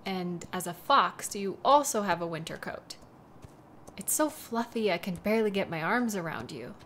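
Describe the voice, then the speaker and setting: A woman playing a devoted, worried young woman in an intimate audio roleplay, speaking tenderly and haltingly with a wistful manner. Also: medium pitch, expressive, fairly bright tone, slight background noise, lightly acted. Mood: tender, gentle.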